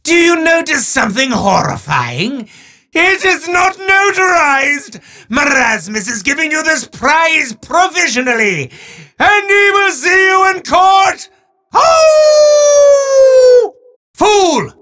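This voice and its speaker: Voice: sits high, sing-song, slow pace, bright, forceful tone, heavily acted. Marasmus, a bellowing pompous demon. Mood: grandly menacing. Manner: theatrical, bellowing, speaks of himself in third person. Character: pompous male demon handing out prizes. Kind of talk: game character voice acting.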